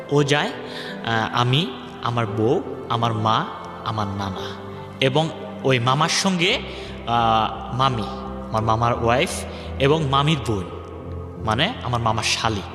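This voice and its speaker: A young man telling his life story on a radio show, rambling and acting out what others said. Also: medium pitch. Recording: echoey hall-like reverb, music underneath. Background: background music.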